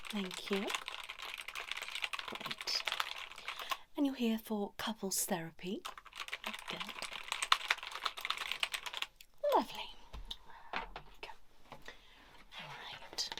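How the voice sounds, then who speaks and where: A woman playing a blunt, judgmental female couples therapist in an ASMR role-play, soft and breathy, often dropping into a whisper. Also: mid-range voice, heavy background noise. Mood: brisk, polite. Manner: fussy, chiding, pausing as if listening to clients.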